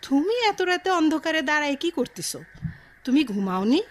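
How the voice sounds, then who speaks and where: A woman's voice: high voice, very animated, a little fast. A woman playing a concerned, scolding village companion in a Bengali audio drama, blunt and familiar, with a rural Bangladeshi accent.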